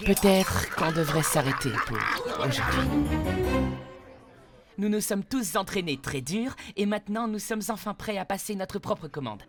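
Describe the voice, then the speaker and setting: A man voicing an earnest, encouraging young female teacher in an animated comedy dub, eager and patient. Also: sits high, unhurried, loud music behind the voice. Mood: patient, flustered.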